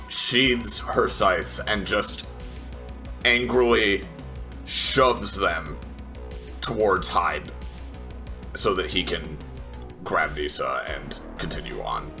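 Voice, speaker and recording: Deep, slow-talking man, halting narration. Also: sharp tone. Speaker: player. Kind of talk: narrating his character's actions.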